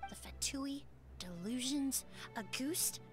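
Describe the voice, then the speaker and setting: Game role: composed female investigator, high voice, articulate and pointed, light music behind. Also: animated, slow pace. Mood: accusing. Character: composed, incisive female investigator questioning a suspect. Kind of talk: scripted video game dialogue.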